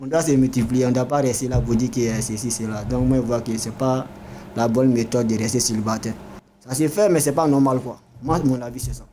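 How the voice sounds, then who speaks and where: A man's voice: mid-range voice, fairly even intonation, brisk, warm tone, a little hiss or hum, low-quality recording. A young male vocational student giving his opinion firmly in a classroom debate. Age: young adult. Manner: firm, opinionated.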